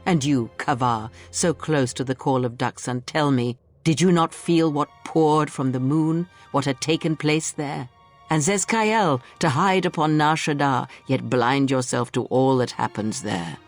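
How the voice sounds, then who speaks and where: An older woman playing a bitter, contemptuous old Sith master in a video game, speaking in a theatrical, deliberate, preaching way. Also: rumbling low. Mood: scornful, intense. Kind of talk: dramatic video game monologue. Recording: music behind. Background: music.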